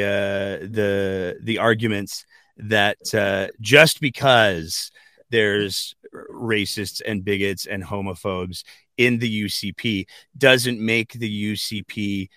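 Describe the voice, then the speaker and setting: A man co-hosting a political talk podcast, making wry, sarcastic points in quick asides. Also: lowish voice, pushed and bright, dry recording, studio quality. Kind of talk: casual political chat.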